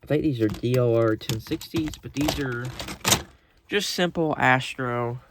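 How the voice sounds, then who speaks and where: A man's voice: mid-range voice, unhurried. Rambling American man, noisy home recording. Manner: rambling, hesitant, self-correcting. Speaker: radio collector showing off collection. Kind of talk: casual, unscripted show-and-tell. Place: indoors at home.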